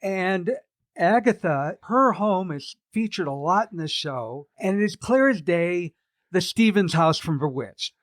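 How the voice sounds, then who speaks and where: Male podcast co-host, everyman; wry, relaxed, a touch high, dry studio sound. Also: a little slow, dry recording. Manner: wry, self-deprecating, relaxed storytelling. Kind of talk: casual podcast chat.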